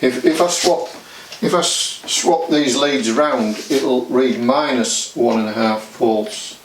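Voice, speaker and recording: A man talks through a hands-on job step by step in a plain, matter-of-fact manner. His pace is slow and his pitch sits in the middle range for a man. There is some faint noise behind his casual demonstration. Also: poor audio. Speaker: DIY demonstrator.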